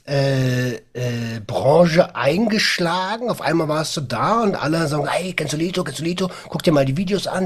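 Husky podcast host with a cold. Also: male voice, on the high side. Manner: upbeat, animated, says "geil" and "Alter". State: has a cold. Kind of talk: casual podcast chat.

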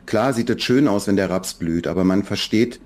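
A man from Berlin, a children's book author, talking reflectively in a relaxed podcast interview, with many fillers and restarts. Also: fairly low pitch, moderate pace. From Berlin, Germany.

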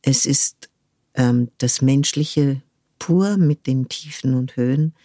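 An older woman speaks in a low, mellow tone, weighing each phrase and stopping now and then to hunt for a word. The sound is close and dry, with no room around it. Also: no room sound. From France. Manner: thoughtful, searching for words with frequent pauses. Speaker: guest, cultural manager. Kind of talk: reflective conversational interview.

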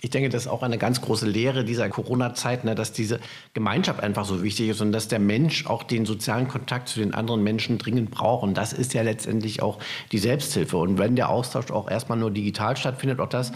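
A man's voice: fairly low pitch, fairly even intonation, brisk. A man hosting an interview in a conversational way, commenting and asking questions. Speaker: interviewer and host.

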